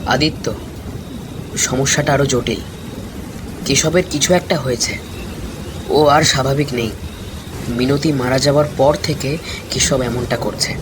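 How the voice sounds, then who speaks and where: A man's voice: mid-range voice, fairly even intonation, measured pace, pauses often, close to an everyday voice. A man voicing a concerned young village friend in a cartoon ghost story, questioning and reasoning. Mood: worried. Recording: clear rain and thunder noise behind.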